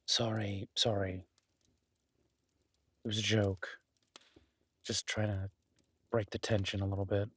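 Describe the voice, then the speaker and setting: A man playing a kind, protective woodsman in an intimate roleplay audio drama, speaking in an unhurried, reassuring and wryly teasing way with a husky voice. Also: lowish voice, little pitch movement. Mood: teasing, gentle. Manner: soft-spoken, unhurried, reassuring, wryly joking. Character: gruff-kind woodsman host, gently teasing, protective cabin dweller.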